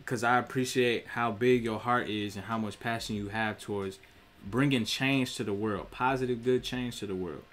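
A man's voice: mid-range voice, steady tone, moderate pace, fairly bright tone. A young man, streaming from a small room, preaching about spirituality in a direct, profane way. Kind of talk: casual spiritual monologue.

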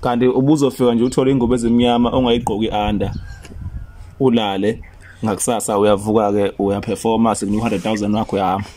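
Fast-talking man, faint noise behind. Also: lowish voice, level tone, warm tone. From South Africa. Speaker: prank call comedy host. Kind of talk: prank phone call in casual street talk. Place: outdoors beside a parked car under a carport.

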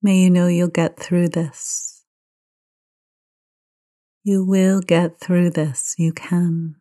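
A woman leading a guided meditation in a gentle, soothing, unhurried manner. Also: fairly low pitch, level tone. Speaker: meditation teacher.

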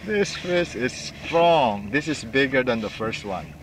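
Excitable middle-aged man whooping on a kayak. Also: medium pitch, takes it slowly. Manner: excited whoops and laughter, short exclamations. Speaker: kayak angler filming himself. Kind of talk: live reactions while fishing. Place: kayak on open bay water outdoors. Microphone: action camera mounted on kayak. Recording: wind and water noise.

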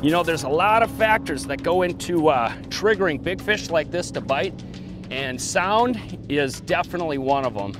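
A man's voice: fairly high pitch, animated, normal speed. A man with a folksy, colloquial way of talking, demonstrating and chatting as an angler on a fishing show, outdoors on a boat. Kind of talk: fishing demonstration and casual chat. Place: outdoors on a boat on open lake. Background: music.

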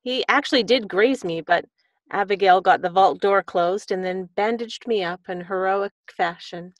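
A woman's voice: medium pitch, normal speed, dry recording, close to an everyday voice. Actress as a gushing lady swindler, dry sound. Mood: breezy. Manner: gushing, breezy storytelling. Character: smooth-talking, gushing Edwardian lady con artist. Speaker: radio play actor. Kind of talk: radio drama acting. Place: indoor room on a video call.

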